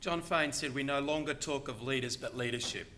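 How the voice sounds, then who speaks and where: An older man giving a prepared talk on a conference panel, earnest and reflective. His voice is bright and forceful, at an ordinary pitch for a man. A faint hum of room noise sits under him. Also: fairly quick. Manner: earnest, reflective, quotes other speakers. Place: conference hall.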